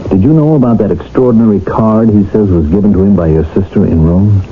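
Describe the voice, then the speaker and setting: An actor playing a middle-aged doctor who tells his tale in a measured, grave radio drama style. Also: on the low side, faint music behind, close to an everyday voice. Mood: grave, reflective. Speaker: narrator and doctor character. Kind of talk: radio drama acting, storytelling. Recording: dull lo-fi old radio broadcast.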